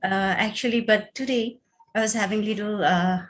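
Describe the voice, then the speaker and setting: A woman's voice: medium pitch, fairly even intonation, moderate pace. A woman from Nepal speaks on a group video call in a mid-range voice. She is friendly and a little hesitant, with fillers between her thoughts. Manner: friendly, hesitant, with light laughs. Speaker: participant.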